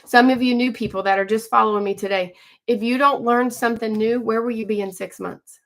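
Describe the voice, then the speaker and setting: A woman in her forties hosting a casual live stream from home, chatting with viewers, greeting them by name and often clearing her throat. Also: a little high, steady tone, fairly quick, a bit bright. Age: 40s. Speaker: livestream host.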